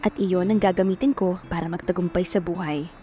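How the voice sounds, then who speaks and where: A woman's voice: fairly low pitch, fairly even intonation, a little fast, dark tone, natural voice. An actress playing a poor, determined, lovestruck young provincial woman who narrates her own story earnestly and introspectively. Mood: resolute. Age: young adult. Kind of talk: scripted first-person dramatic narration. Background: music.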